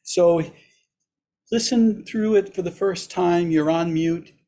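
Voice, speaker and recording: A man with a raspy, hoarse voice leading a Buddhist service online, slowly intoning chants and gentle instructions. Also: high-pitched. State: hoarse after surgery. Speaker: Buddhist teacher leading practice. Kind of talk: leading a chanted metta practice.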